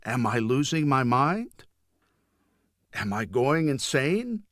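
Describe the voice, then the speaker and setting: A man's voice: mid-range voice, very animated, slow pace, fairly bright tone, no echo, clean studio sound, pitched above the performer's own voice, full character voice. A man voicing an anxious, bewildered young tribune in an audiobook, questioning in a pleading, distressed way. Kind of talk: audiobook character dialogue.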